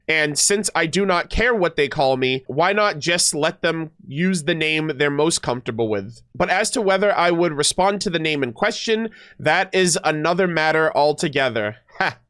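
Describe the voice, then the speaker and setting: A man's voice: a little high, animated, moderate pace, few pauses, fairly bright tone. A man streaming, reading story text aloud and breaking off for casual, playful commentary. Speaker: streamer reacting to game lore. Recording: music playing behind the voice. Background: background music.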